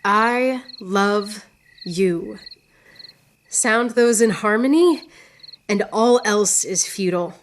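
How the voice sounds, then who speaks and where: An actress playing a witty, proud, lovelorn woman poet in radio theatre, speaking in a theatrical, yearning way with drawn-out phrases. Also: medium pitch. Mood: passionate. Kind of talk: poetic radio theatre acting.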